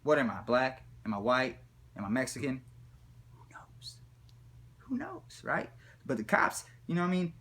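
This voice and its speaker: A man telling a comic personal story in a rambling, slangy, profane way with animated retelling. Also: medium pitch, normal speed, pauses often. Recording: background noise, room ambience.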